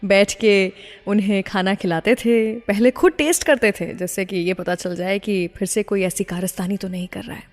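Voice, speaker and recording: A woman's voice: medium pitch, normal speed, a little mellow, in a large hall. A woman hosting a radio show, telling stories in a conversational way and putting teasing questions straight to her listeners. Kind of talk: storytelling radio presenting.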